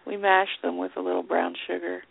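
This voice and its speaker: Woman, middle-aged, very creaky voice, casual radio co-host chat, narrow telephone-like sound. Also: on the low side, flat delivery, brisk, dry recording. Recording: narrow phone-like line.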